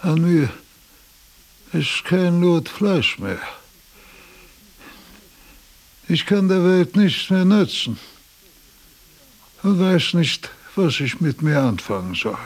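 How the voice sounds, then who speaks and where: Frail old professor in a radio play, slow n creaky, pedantic, bossy, bit confused. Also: male voice, a little high, lively intonation, exaggerated voice. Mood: weary, bitter. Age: older. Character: frail, confused, imperious old philosopher in his last months. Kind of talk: radio drama acting.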